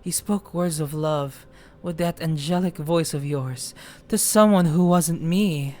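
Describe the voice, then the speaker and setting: A woman's voice: sits low, mellow. Woman acting a creepy, obsessive younger brother. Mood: wounded, obsessive. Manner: slow, courtly, ominously affectionate.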